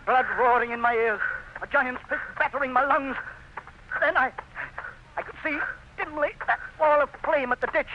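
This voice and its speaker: A proud, resolute planter in a vintage radio drama. His voice sits high and he speaks in intense, broken, halting phrases. The old recording is muffled and full of noise. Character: resolute, proud male planter battling swarming ants in agony. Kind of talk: old-time radio drama acting, first-person narration.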